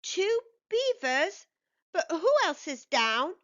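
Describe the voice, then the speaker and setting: A woman's voice: extremely high pitch, very animated, slow pace, a bit bright, lo-fi sound, pitched above the performer's own voice, exaggerated voice. A woman voicing an earnest, sweet-toothed male beaver in a children's storybook, speaking with emphasis. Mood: surprised.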